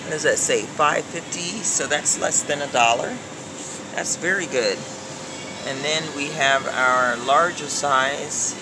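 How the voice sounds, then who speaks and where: A woman's voice: low-pitched, slow pace. A middle-aged American woman chatting casually and thinking aloud as she records a shopping vlog on a handheld phone. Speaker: vlogger. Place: supermarket aisles with humming coolers. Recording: noisy background hum, handheld phone.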